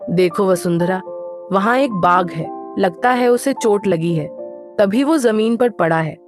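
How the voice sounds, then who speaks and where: A woman voicing a young, kind-hearted mermaid in a cartoon story, earnest and expressive. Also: mid-range voice, a little slow, natural voice. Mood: concerned, caring. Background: music.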